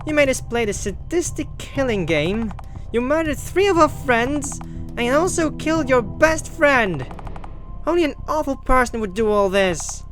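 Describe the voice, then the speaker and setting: A man's voice: extremely high pitch, lively intonation, a little slow, over music, voice pushed up. A man voicing a determined young accuser in a visual novel, speaking with indignant, dramatic conviction. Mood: outraged accusation.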